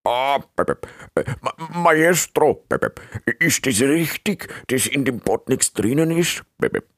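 Stammering, beeping little assistant creature. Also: male voice, medium pitch, expressive, a little slow, a bit bright, no room sound, clean studio sound, voice pushed up. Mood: nervous, hesitant. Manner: stammering, punctuates speech with beeps. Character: servile, eager, bumbling little animal assistant.

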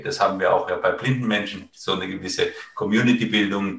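A man's voice: low-pitched, creaky, a bit bright. A middle-aged man from Italy, a professor, giving a seminar talk in a hesitant way with many filler sounds. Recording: echoey room, distant mic.

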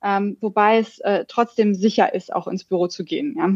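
A slightly muffled, lo-fi remote recording of a woman from Germany with a mid-pitched voice. She talks conversationally as a guest founder answering an interviewer's questions. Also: fairly quick, a little mellow. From Berlin, Germany. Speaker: startup founder, podcast guest. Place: hotel room, remote call. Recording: dull, lo-fi remote connection.

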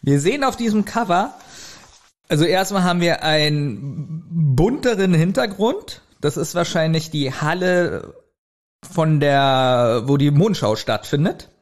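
A man's voice: on the high side, animated, unhurried. A man chatting casually as a podcast co-host, informal and reviewing as he goes. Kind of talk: casual chat reviewing an audio drama. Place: remote recording at a computer.

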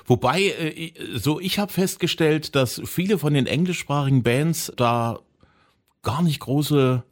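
A middle-aged man from East Germany hosting a podcast interview, chatty and enthusiastic as he reminisces. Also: mid-range voice. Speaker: podcast host. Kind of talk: casual interview chat.

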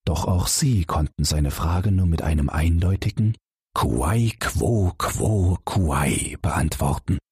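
A male narrator tells a bedtime fairy tale in a calm, expressive manner. His deep voice sounds strongly husky and moves widely in pitch, and the recording is dry and close. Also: slow pace, dry recording. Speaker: audiobook narrator. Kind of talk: scripted fairy-tale reading.

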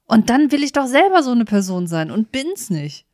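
A woman's voice: on the high side, sing-song, moderate pace, a little mellow, no room sound, studio quality. A woman co-hosting a podcast, chatting casually and reflectively in an emphatic, candid and self-deprecating way. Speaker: podcast co-host.

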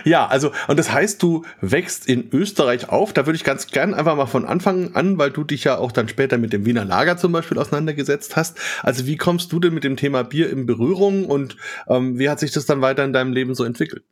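A man's voice: mid-range voice, lively intonation, brisk. A man hosting a podcast interview, enthusiastic and conversational. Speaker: podcast host.

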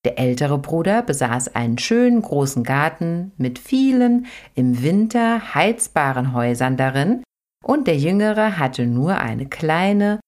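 A woman podcast host reading an old folk tale aloud in a lively, expressive storytelling manner. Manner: lively, expressive read-aloud with sudden high lifts. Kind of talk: storybook narration.

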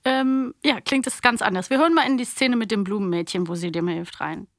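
A woman's voice: mid-range voice, brisk, a bit bright, no room sound. A woman co-hosting a podcast in casual conversation. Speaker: podcast co-host. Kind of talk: casual chat about audio plays.

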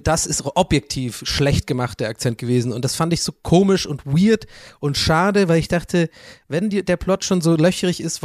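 A young man from Ireland, hosting a casual film podcast and talking in an animated, ranting way with quick asides. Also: a little high, no room sound, clean studio sound. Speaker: podcast host.

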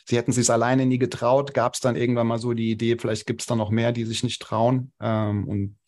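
A man's voice: medium pitch, level tone, a little fast, a little mellow. A young adult man, a podcast guest, speaking casually with many hesitations and restarts. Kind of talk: casual interview talk.

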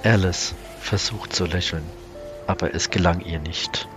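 A man's voice: low voice, fairly even intonation, measured pace. A man reading scripted narration for a science fiction audio drama. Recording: music under the voice.

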